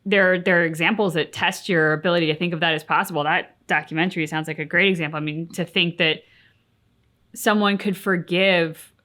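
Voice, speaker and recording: A woman's voice: fairly low pitch, fairly even intonation, a little fast, fairly bright tone. A woman co-hosting a reaction channel, talking casually and reflectively in an earnest, articulate, emphatic way.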